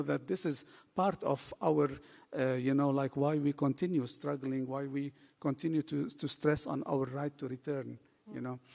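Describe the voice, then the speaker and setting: Man, forties, gravelly voice, earnest halting panel talk, long hall reverb. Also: on the high side, darkish tone. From Lebanon. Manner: earnest, halting, many "you know" and "like" fillers. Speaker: panel speaker. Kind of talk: panel talk at a book event. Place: bookshop event room.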